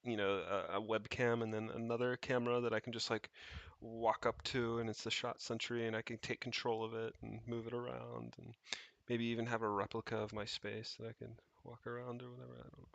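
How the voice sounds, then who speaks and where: A man's voice: medium pitch, level tone, fairly quick, gravelly, hardly any pauses, fairly bright tone, dry recording, highs cut off. A man chatting off the cuff on a livestream, rambling and thinking aloud with casual swearing as he tinkers. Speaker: streamer, tech hobbyist. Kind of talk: casual unscripted livestream chat while tinkering. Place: indoors, rented home.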